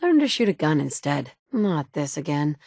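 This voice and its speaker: A woman's voice: sits low, very animated, moderate pace, a little mellow, no echo, studio quality, full character voice. An actress voicing a confident, wry female survivor in a video game, delivering blunt, self-assured lines. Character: confident, wry adult woman wasteland survivor. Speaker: player character in a video game.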